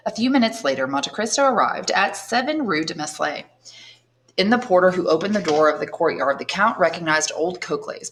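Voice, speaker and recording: A woman, an English teacher, reading a classic novel aloud in steady, clear storytelling. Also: on the low side. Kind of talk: audiobook narration.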